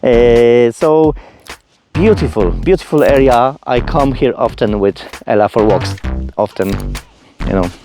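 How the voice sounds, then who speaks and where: A man's voice: mid-range voice, a little slow, music in the background. A man from Poland with a Polish accent, chatting casually to viewers as a vlogger, effusive and often repeating words for emphasis. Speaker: vlogger presenting own channel. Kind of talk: casual personal vlog chat.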